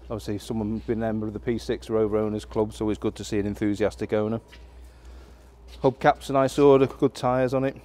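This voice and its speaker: Middle-aged man, lowish voice, easygoing off-the-cuff auction presenter, warehouse, phone-recorded. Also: fairly quick. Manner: relaxed and conversational, with frequent fillers and restarts. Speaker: classic car auction presenter. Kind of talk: unscripted walkaround car presentation. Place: large indoor auction warehouse.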